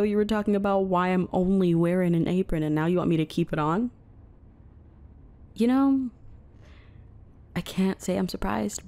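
A woman's voice: medium pitch, mellow, lightly acted. A woman playing a coy, teasing, dominant wife in an intimate roleplay audio, talking playfully to the listener. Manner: coy, teasing, slow breathy murmurs mixed with playful chatter.

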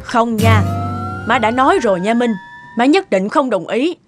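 Woman playing stubborn, high-voiced southern Vietnamese mother. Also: animated, normal speed, over music, lightly acted. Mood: disapproving. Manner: scolding, emphatic. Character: stubborn, disapproving middle-aged mother. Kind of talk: family cartoon dialogue.

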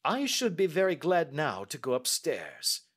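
A man voicing a brisk, astute Victorian gentleman detective with crisp, courteous questioning, in audiobook style. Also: on the high side, wildly swooping pitch, voice pushed up, heavily acted. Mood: assured, brisk. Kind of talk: audiobook character acting.

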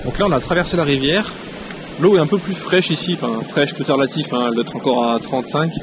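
A man's voice: on the high side, fast talker. A young man chatting casually as a travel vlogger, filming himself outdoors on a handheld camera. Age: young adult. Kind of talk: casual vlog chat. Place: outdoors by hot springs. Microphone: handheld camera at arm's length. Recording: clear outdoor background noise.

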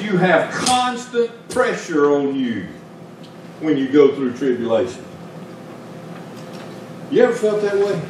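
Preacher in an echoey church. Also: male voice, high voice, darkish tone. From Georgia, US. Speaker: pastor. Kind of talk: sermon. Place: small church sanctuary, indoors. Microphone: stand mic at pulpit, filmed by far camera. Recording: very echoey church room, distant mic, noisy.

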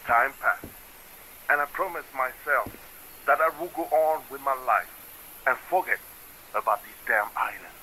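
An actor playing a haunted, determined island fisherman in a video game, giving grave, measured testimony through an old tape filter. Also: medium pitch, pushed and bright. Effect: old tape recording filter. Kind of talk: scripted recorded testimony. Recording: noisy, thin reel-to-reel tape playback.

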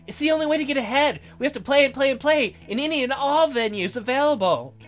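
A man's voice: very high voice, lively intonation, fast talker, faint music behind, voice pushed up. A man voicing a brash, eager young punk band girl with a bold, pushy manner in a visual novel game. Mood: excited.

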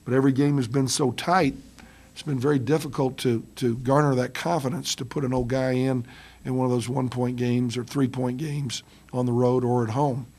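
A middle-aged man, a basketball head coach, answering reporters after a loss, halting with many ums and restarts, speaking into a table microphone. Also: medium pitch, fairly even intonation, darkish tone. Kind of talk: post-game press conference. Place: press room with curtain backdrop. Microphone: table mic on stand.

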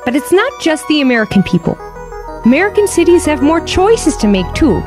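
A woman's voice: sits high, very animated, a little fast, over music. A woman playing a polished, earnest corporate spokeswoman in a scripted commercial voice-over.

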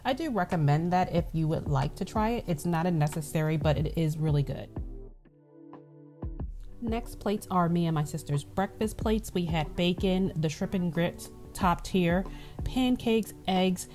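A young woman narrates a review vlog in a low, relaxed voice. She speaks casually and informatively, like someone passing on practical advice. Light music plays behind her. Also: unhurried. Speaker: vlog host.

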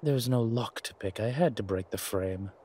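A man playing a haughty, wry vampire gentleman in a roleplay audio drama, speaking formally and with refinement. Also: fairly low pitch, breathy edge. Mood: mildly exasperated. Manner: refined, formal, wry phrasing with hesitant pauses.

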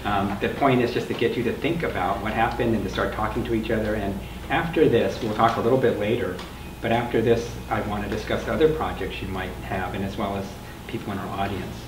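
An older man speaks in a low voice as he introduces an oral history panel. He is conversational and explanatory. The mic is distant and there is clear noise behind him in the room. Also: steady tone, brisk, few pauses, reverberant. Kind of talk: informal spoken introduction to a panel. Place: meeting room with a small audience.

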